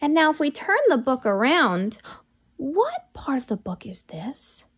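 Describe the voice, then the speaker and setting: Young female preschool teacher, high voice, very lively intonation, story read-aloud. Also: measured pace. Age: young adult. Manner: expressive, addressing small children with questions. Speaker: children's literacy teacher.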